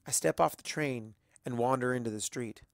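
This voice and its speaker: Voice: medium pitch, normal speed, creaky, no room sound, clean studio sound. A narrator reading a first-person story expressively, as in an amateur audiobook. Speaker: fan fiction reader.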